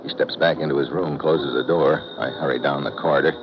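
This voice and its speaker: An actor playing a tough, wisecracking American investigator, narrating wryly in clipped old-time radio style. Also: male voice, extremely low pitch, steady tone, brisk. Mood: tense narration. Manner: wry, clipped, hard-boiled. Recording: muffled, hissy old radio recording.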